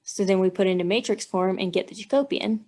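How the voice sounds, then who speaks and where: A woman's voice: medium pitch, a little fast, darkish tone. A woman engineering instructor giving a methodical, step-by-step walkthrough, thinking aloud as she works through math.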